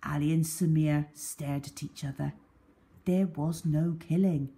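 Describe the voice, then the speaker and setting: A woman reading a children's story aloud in a deliberate, dramatic way. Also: sits low, steady tone, warm tone.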